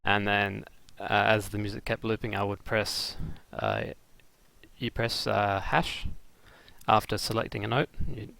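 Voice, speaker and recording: A man giving a casual, unscripted software tutorial, thinking aloud in a halting, hesitant way with dry, self-deprecating humour. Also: fairly low pitch, expressive, gravelly, no echo. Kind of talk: casual unscripted screen-recorded software demo.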